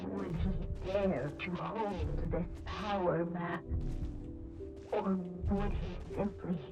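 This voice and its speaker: Creepy insistent game narrator, crawling pace, drawn out, loud music. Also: female voice, lowish voice, fairly even intonation, highs cut off. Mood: ominous. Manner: halting, drawn out. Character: ominous storybook narrator, halting and insistent. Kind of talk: scripted video game narration.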